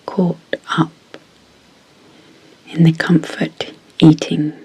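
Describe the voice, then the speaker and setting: Soft whispery asmr lady doing sleep hypnosis, lowish voice, really slow w pauses. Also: level tone, low-quality recording. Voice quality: breathy, whispery, hushed. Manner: slow, deliberate, pausing between short phrases. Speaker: ASMR hypnosis guide and channel host.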